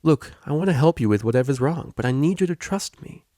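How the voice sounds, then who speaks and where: A man's voice: mid-range voice, expressive, fairly quick, dark tone, dry recording, clean studio sound, pitched above the performer's own voice, lightly acted. Man acting a breezy, emphatic stallion counselor, raised voice. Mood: earnest. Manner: casual, emphatic. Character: breezy male pony tutor and counselor, earnest, defensive.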